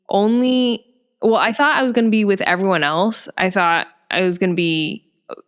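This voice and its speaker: Woman, young adult, slightly low pitch, giggly, self-amused, casual podcast chat, long reverb. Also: fairly bright tone, smooth. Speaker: podcast co-host.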